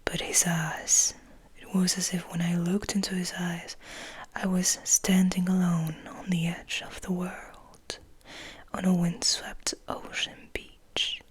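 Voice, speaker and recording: A woman reading a story aloud as soft ASMR, in a breathy, mostly unvoiced whisper with gentle, unhurried delivery. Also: on the low side, flat delivery. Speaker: ASMR host and reader.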